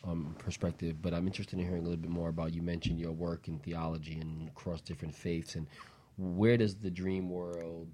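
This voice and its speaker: Male co-host: very low, dark, mellow voice, casual interviewer. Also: level tone, a little fast, slight background noise. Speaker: talk show co-host.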